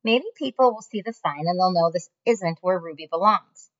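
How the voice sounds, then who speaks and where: A woman's voice: fairly low pitch, moderate pace, close to an everyday voice. A woman voicing a spirited teenage daughter who pleads eagerly, on a muffled lo-fi recording.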